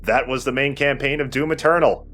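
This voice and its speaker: A man's voice: mid-range voice, steady tone, a little fast, fairly bright tone, faint music behind. A man giving casual, joking gameplay commentary as host and player, with some swearing. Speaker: let's play host and player. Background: video game sounds.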